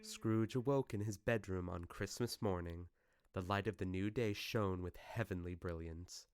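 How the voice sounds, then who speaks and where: A man's voice: low-pitched, normal speed, a little mellow, smooth, no echo, studio quality, faint music behind. A man giving scripted narration for a radio play.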